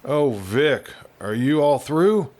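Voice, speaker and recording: A man's voice: mid-range voice, big pitch swings, takes it slowly, darkish tone. A man voicing a spirited, fickle young woman, a frontier schoolteacher in a western storybook. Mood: excited.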